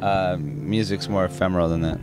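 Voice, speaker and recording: A man's voice: deep voice, brisk, over music. A man, singer of a rock band, answering interview questions in a rambling, casual way with many restarts and fillers.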